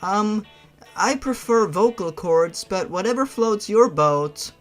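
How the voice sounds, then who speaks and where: A man voicing a nervous, twitchy young female creature with a shy, halting stammer. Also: high voice, expressive, faint music behind, voice pushed up. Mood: nervous, polite.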